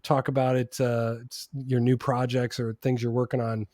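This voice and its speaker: A man's voice: medium pitch, flat delivery, moderate pace, a little mellow, dry recording, studio quality. A man hosting a podcast, asking questions in a jokey, friendly way and laughing at his own jokes. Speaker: podcast host. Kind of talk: casual interview and show outro.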